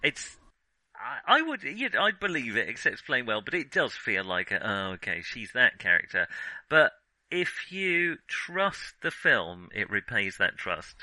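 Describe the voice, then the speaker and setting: Very bright-voiced man in dry close sound. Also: mid-range voice, big pitch swings, measured pace, no room sound, rough recording. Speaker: film discussion podcast co-host. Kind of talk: casual chatty film discussion.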